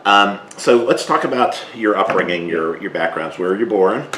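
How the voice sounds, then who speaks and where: A man hosting a cooking interview podcast, asking questions in a relaxed, measured way with many ums. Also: deep voice, echoey room, a little hiss or hum. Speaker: podcast host.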